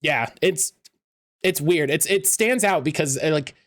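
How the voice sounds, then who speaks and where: A man's voice: fairly high pitch, unhurried, fairly bright tone. A man hosting a podcast interview, rambling with lots of fillers and restarts. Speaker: podcast host.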